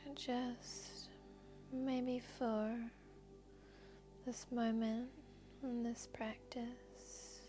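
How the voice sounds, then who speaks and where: A woman guiding a meditation in a soft, breathy, whispery voice, speaking gently and soothingly with long unhurried pauses. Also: a little high, little pitch movement. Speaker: yoga nidra guide and host. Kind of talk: guided meditation narration. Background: background music.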